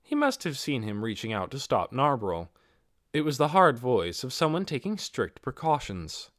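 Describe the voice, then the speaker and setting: A man's voice: medium pitch, huge pitch swings, normal speed, fairly bright tone, no room sound, clean studio sound. A man reading a fantasy novel as a scripted audiobook narration.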